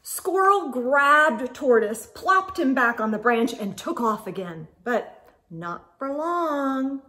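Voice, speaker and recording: A middle-aged woman reading a picture book aloud to young children, expressively, with dramatic pauses and exclaimed asides. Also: high voice, big pitch swings, pushed and bright. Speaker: children's storytime host. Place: small indoor room with bookshelves.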